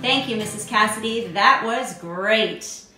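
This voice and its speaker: A woman's voice: mid-range voice, unhurried, loud music behind the voice. An American woman in her forties, a school principal hosting scripted morning announcements. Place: indoor room at a desk. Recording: very echoey room.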